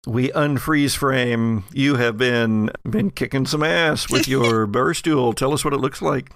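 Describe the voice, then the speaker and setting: Slow, gravelly man narrating horror. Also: medium pitch, a bit bright, slight background noise. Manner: deliberate, dramatic storytelling. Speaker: game master. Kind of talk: narrating a horror tabletop role-playing game.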